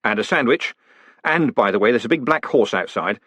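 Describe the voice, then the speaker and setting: Brash postmaster, played by a male reader: commanding, cocky, clipped, lively swooping pitch, rough husky tone. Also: dry recording. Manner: clipped orders and quick questions.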